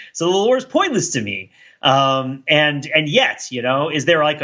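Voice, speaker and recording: A man's voice: medium pitch, pushed and bright, muffled recording. A man co-hosting a podcast in casual, discursive chat, rambling and often questioning himself as he goes. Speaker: podcast co-host.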